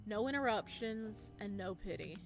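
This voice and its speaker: A woman's voice: mid-range voice, animated, moderate pace, vocal fry. A woman playing a story game, reading its text aloud and adding her own reactions, with game music behind her. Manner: reacts aloud between read lines. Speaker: game player reading a visual novel aloud. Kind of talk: game playthrough, reading dialogue and narration aloud.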